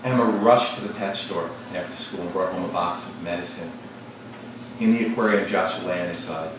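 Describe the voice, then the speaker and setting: A deep-voiced male teacher reads a story aloud to his class, slow and deliberate, pausing to think aloud and ask questions. He is heard from across a very echoey classroom, with steady noise behind him. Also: recorded from a distance.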